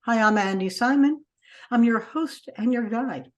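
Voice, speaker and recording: A woman hosting a podcast, introducing her guest with an enthusiastic, expressive delivery. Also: fairly high pitch, unhurried. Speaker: podcast host.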